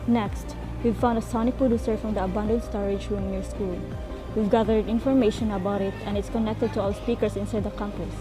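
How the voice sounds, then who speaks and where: A woman's voice: mid-range voice, fairly even intonation, a little fast, over music, close to an everyday voice, rough recording. A woman voicing a stern, impatient investigator who questions students in a firm, businesslike way in a student radio drama.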